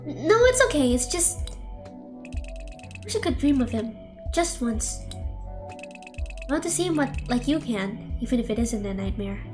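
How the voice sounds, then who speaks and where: An earnest schoolgirl from a visual novel, as a woman acts her out, with faint music behind. Her voice is high and swings up and down. She is soft-hearted, teasing and a bit bossy. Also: lively intonation, unhurried, pauses often. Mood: wistful, shy. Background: game music.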